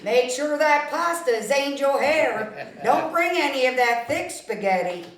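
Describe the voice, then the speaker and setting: Easygoing, high-pitched buddy in his forties, read by a woman, folksy, unhurried, roomy table-read recording. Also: animated, far from the mic, slight background noise. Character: fun-loving man in his forties, slightly high-voiced. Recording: echoey room, off-mic.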